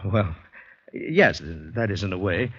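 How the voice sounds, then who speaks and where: A young army convalescent from Canada, anxious and hesitant, in a vintage radio drama. His voice sits very low with a slightly rough edge, on a dull, dry old recording. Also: expressive, slow, a bit bright, dry recording, full character voice. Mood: hopeful, hesitant. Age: young adult. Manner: hesitant, agitated.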